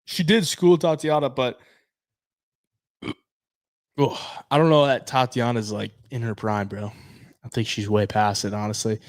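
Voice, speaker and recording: A man's voice: mid-range voice, no room sound, studio quality. A man hosting an MMA betting show, chatting casually with viewers and reading their comments in a laid-back, slangy way, sounding stuffy as he loses his voice. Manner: laid-back, slangy, says "bro" often. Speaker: MMA betting podcast host.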